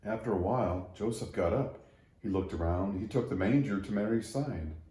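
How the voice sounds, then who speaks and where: Mellow, low-voiced man reading a children's story. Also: moderate pace. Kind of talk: read-aloud storytelling for children. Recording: roomy echo.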